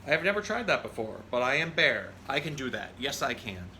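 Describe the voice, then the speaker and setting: A man voicing a huge, boastful, stubborn bear from a children's storybook, bragging in loud, emphatic declarations. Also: medium pitch, sing-song, a little fast, slight background noise. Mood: boastful, defiant.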